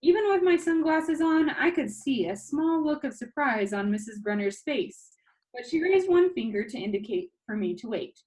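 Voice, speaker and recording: Animated, higher-pitched woman reading aloud. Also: moderate pace, poor audio. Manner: informal, chatty asides. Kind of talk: expressive storybook narration.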